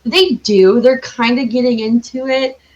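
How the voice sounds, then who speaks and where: A woman's voice: fairly high pitch, fairly even intonation, a little slow. A chatty, exuberant woman talking casually as a podcast guest, with a slightly muffled sound. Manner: chatty, self-described loud and exuberant. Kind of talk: casual chat.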